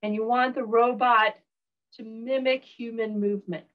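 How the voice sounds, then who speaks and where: A woman professor with a Southern US accent giving a recorded online lecture, relaxed, with frequent ums and uhs. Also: on the high side, normal speed, narrow, tinny audio.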